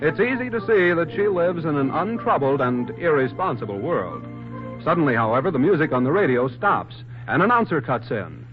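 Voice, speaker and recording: A man with a fairly high, very animated voice narrates as an old-time 1940s radio announcer. The recording is muffled and vintage, with music clearly behind him. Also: moderate pace.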